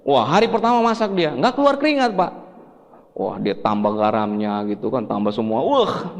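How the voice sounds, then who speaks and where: A man's voice: mid-range voice, big pitch swings, normal speed. Man presenting in a reverberant hall. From Sulawesi, Indonesia. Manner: explanatory, conversational, with rhetorical questions. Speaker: health seminar speaker. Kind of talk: presentation to an audience. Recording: echoey hall with long reverb.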